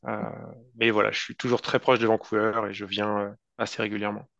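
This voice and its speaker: A man's voice: lowish voice, little pitch movement, fairly quick, vocal fry, fairly bright tone, dry recording, muffled recording. A man in his thirties from France with a French accent, answering interview questions in a casual, hesitant way with many fillers and restarts. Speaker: guest entrepreneur.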